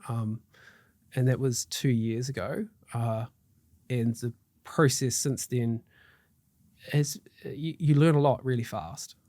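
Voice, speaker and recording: A man's voice: medium pitch, steady tone, slow, pauses often, dark tone, dry recording, studio quality. A middle-aged man with a New Zealand accent, a guest chatting casually on a podcast, upbeat and loose with fillers and restarts, his throat slightly tickly. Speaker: guest mortgage broker.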